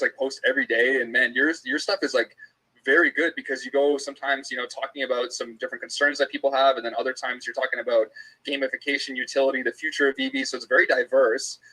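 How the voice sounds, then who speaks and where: Bright, raspy male host. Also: mid-range voice, low-quality recording. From Toronto area, Canada. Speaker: interviewing YouTube host. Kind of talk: casual interview chat.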